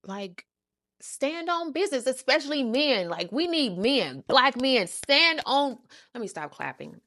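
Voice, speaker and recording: Sassy woman storyteller with a creaky voice. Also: sits high, a little slow, no room sound, clean studio sound. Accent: American. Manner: animated, sassy, emphatic, slangy. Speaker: storytime show host. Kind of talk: casual storytelling, acting out quoted conversations.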